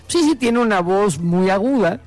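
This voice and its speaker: A Mexican man in his fifties hosting a show, talking in a relaxed, joking, slangy way. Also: high voice, normal speed, no echo, low-quality recording. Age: 50s. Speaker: radio show host. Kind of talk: casual chat.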